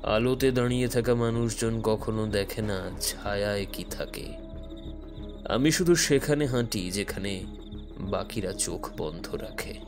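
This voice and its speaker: Male actor, enigmatic detective, low voice, calm, brooding, measured delivery, audio thriller, music behind. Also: close to an everyday voice. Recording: dramatic music under the voice.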